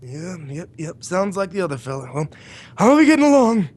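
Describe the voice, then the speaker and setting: A man's voice: high voice, very animated, a little fast, darkish tone. An actor playing an odd, ominous man of few words in an old-time radio comedy, droll and foreboding, on a vintage recording.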